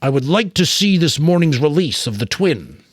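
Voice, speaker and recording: A man's voice: on the high side, sing-song, normal speed, husky, no echo, studio quality, pitched above the performer's own voice. A man voicing a curious, earnest boy in a storybook reading, naive and eager.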